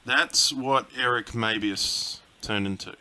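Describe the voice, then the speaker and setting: Bright-voiced laid-back interviewer. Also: male voice, mid-range voice, takes it slowly, low-quality recording. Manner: laid-back, laughing, brief reactions. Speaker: interviewer, site host. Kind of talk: casual interview.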